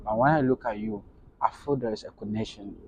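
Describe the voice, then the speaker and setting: A man's voice: fairly low pitch, brisk, background noise. A young man with a Ghanaian accent chatting casually as a travel vlogger, often repeating words. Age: young adult. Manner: animated, repeats and echoes words. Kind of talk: casual on-location interview chat.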